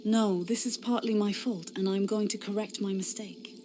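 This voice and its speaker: A woman's voice: mid-range voice, fairly even intonation, normal speed, natural voice. Woman voicing young lady knight in a fantasy game, earnest n defiant, bit of background noise. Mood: hurt, defiant. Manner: impassioned, defiant. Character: earnest, idealistic young female inquisitor knight. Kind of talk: fantasy game cutscene acting.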